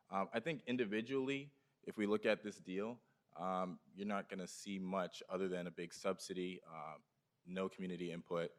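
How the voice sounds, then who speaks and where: Man with a low voice, firm, reads petition comments aloud at a public meeting, lots of ums.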